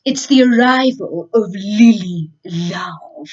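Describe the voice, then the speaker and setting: A woman's voice: mid-range voice, slow pace, darkish tone, husky. A woman voicing a spoilt, haughty female cat on a farm in a children's story, on a slightly muffled recording.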